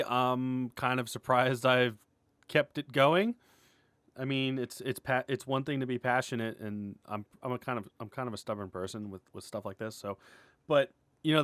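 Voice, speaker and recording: A man with a medium-pitched voice talks off the cuff in a dry, echo-free recording. He sounds like a creator giving a candid update to viewers. His delivery is halting and informal, with sentences that break off and start again. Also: fairly bright tone. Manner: rambling, halting, self-interrupting, often chuckling and clearing throat; informal, mildly sweary, candid. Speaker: animator and web series creator. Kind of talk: casual update vlog, unscripted.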